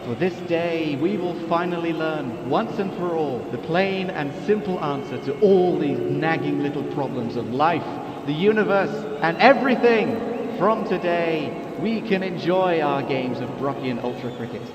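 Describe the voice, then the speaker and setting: Declamatory alien herald acted by a man, highish voice, exultant, echoey hall over background noise, sci-fi radio play. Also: animated, moderate pace, hardly any pauses, natural voice. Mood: rousing. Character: grandiose male alien orator addressing his waiting people. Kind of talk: amateur radio play acting.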